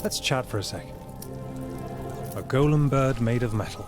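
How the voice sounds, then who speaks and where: A man's voice: mid-range voice, normal speed, over loud music, lightly acted. A man playing a good-natured, dryly sardonic hero in a fantasy video game, polite and wry. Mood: tactful.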